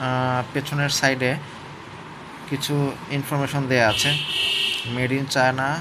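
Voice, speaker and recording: A man gives a casual, hands-on product review, explaining step by step and often checking in with his listeners. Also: mid-range voice. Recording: clear background noise, urban ambience.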